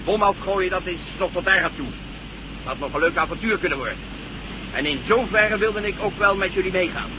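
A man's voice: high voice, lively intonation, fairly quick. A man playing a cocky, sardonic pilot in a science-fiction radio play, wry and emphatic. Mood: sardonic, defiant. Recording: noisy old radio play, aircraft drone.

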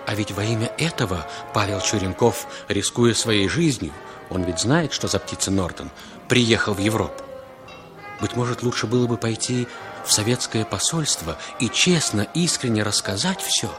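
Low-pitched man narrating a radio drama. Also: animated, normal speed. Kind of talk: dramatic scripted narration, 1960s Soviet radio. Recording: 1960s radio recording with loud music.

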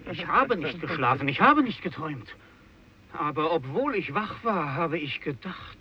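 A man's voice: fairly high pitch, very animated, moderate pace. An actor playing a frightened fisherman lookout, urgent and insistent, in a radio drama. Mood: bewildered, awed. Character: terrified fisherman lookout raising the alarm. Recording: dull, noisy radio recording.

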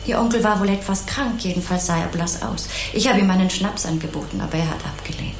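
Kindly naive housewife, lower register, chatty, sympathetic, crime radio drama, echoing room. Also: female voice, quick pace, vocal fry, a little hiss or hum. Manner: obliging, artless, rambling, sympathetic. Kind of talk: 1980s German crime radio play dialogue.

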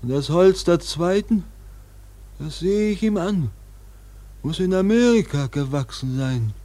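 A man's voice: high voice, expressive, dark tone. A man playing an eerie, solemn seer in a radio drama, speaking like an oracle. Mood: solemn, ominous. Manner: slow, solemn, oracular. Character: eerie, solemn male seer and harpooner prophesying. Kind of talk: German radio play acting.

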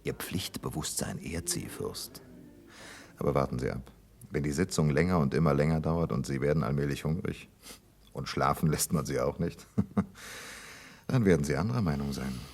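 An actor playing a jovial, teasing gentleman juror in a 1960s German radio play, with an ironic manner. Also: a bass voice, expressive, moderate pace, slightly rough, exaggerated voice. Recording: 1960s radio drama recording.

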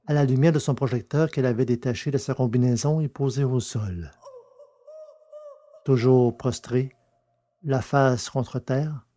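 A man reading science fiction aloud with a measured, deliberate delivery. His tone is mellow and dark, at a middling pitch for a man. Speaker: narrator and producer. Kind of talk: audiobook narration.

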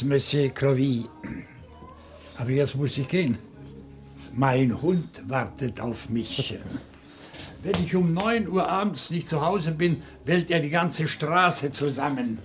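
A polite, bookish professor in a radio crime drama. His voice sits a little high for a man. He speaks with careful, deliberate precision and old-school courtesy. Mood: light, chatty. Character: courteous, bookish professor, a night owl with a dog. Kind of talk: German radio crime play acting.

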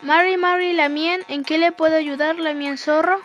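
Young woman as a high-voiced shrewd Chilean partridge. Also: steady tone, moderate pace, fairly bright tone, soft background music. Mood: businesslike. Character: shrewd, indignant female partridge healer. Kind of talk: amateur school radio play acting.

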